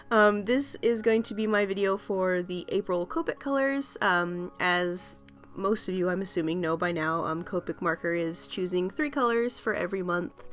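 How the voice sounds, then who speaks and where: A woman talks through her art video in a loose, rambling way, full of ums and small laughs. Her voice sits a little low and is still slightly scratchy from a recent cold. Soft music plays quietly beneath her. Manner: chatty, rambling, laughs often, many ums. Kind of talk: casual voice-over commentary.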